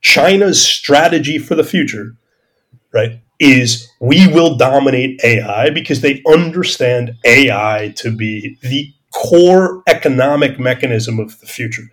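A man's voice: medium pitch, animated, measured pace, a bit bright. A man speaking as a podcast host in an extended intellectual discussion, academic and discursive, searching for words and repeating phrases as he stresses key terms. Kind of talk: extended intellectual discussion, answering listener questions.

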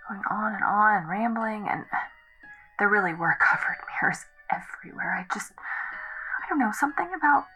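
A woman playing an eerie, entranced young woman, narrating in a hushed, breathy, deliberate way in an audio drama. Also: mid-range voice, animated, soft background music, poor audio. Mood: uneasy, compelled. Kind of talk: found-footage audio drama monologue.

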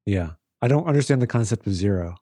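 A man co-hosting a podcast over a call, cracking deadpan teasing jokes in casual chat. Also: fairly low pitch, quick pace, slightly rough, no echo, clean studio sound. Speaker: podcast co-host.

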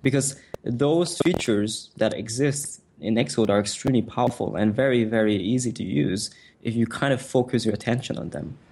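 A man whose first language is French, answering interview questions in a quick, conversational way with frequent restarts. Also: mid-range voice, a little mellow, slightly rough. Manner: fast, fluid, with frequent restarts and "like". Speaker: author and designer, interview guest.

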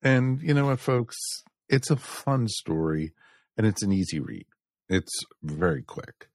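Low-voiced man in casual review chat. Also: big pitch swings, unhurried, a little mellow, no echo, clean studio sound. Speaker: podcast panelist reviewing a comic book.

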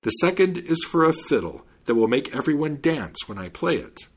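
Cheeky folk-tale servant read by a man, animated and a touch husky, smug and teasing. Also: on the high side, normal speed, pitched above the performer's own voice, exaggerated voice. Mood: eager. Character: cocky, mischievous male servant in a folk tale.

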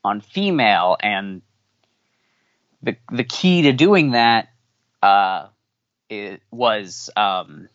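Guy talking english as a second language, stops and restarts a lot, kinda dull low-fi audio. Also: animated, a bit bright, no echo. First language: not English. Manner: halting, many fillers and restarts. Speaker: podcast guest. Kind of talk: interview answers, candid.

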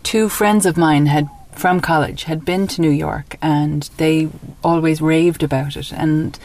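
A woman's voice: low-pitched, fairly even intonation, a little slow, darkish tone. A woman from Dublin with an Irish accent, talking conversationally as an interview guest and telling personal anecdotes. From Dublin, Ireland.

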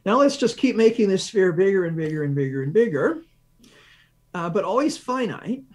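A man's voice: high-pitched, very animated, normal speed, a little mellow. A man speaking as an expert interview guest, explaining science step by step, thinking aloud and answering his own questions with examples. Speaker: research professor, interview guest.

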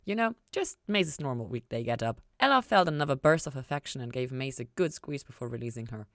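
A woman reading fiction aloud as an expressive narrator on a podcast. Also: a bass voice, a little fast, no room sound, clean studio sound. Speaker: reader of a fan fiction podcast.

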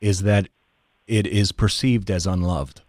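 A deep-voiced American man tells his own story as a podcast guest. He speaks in a measured, deliberate way with pauses, recorded dry and close. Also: fairly even intonation, darkish tone, no room sound. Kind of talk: personal storytelling in conversation.

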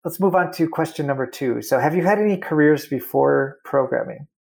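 A man hosting an interview segment, chatty and friendly, full of fillers and quick follow-up questions. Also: on the high side, vocal fry, darkish tone. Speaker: interview host. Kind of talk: casual interview.

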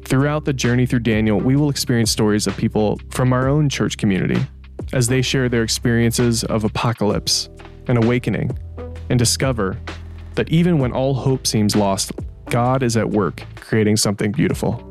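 A man narrating a church testimony video and interviewing a member of the church community in an earnest, measured way. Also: mid-range voice, music in the background.

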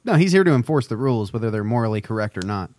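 A man's voice: medium pitch, animated, racing, darkish tone, no echo. A man co-hosting a podcast in casual banter, with a wry way of talking. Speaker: podcast co-host.

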